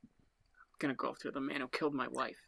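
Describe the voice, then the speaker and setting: A woman playing a young lone detective bent on revenge, terse and deadpan, in an improvised sci-fi comedy. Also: very deep voice, brisk, creaky, no room sound, pitched below the performer's own voice, exaggerated voice, rough recording. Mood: accusing, vengeful.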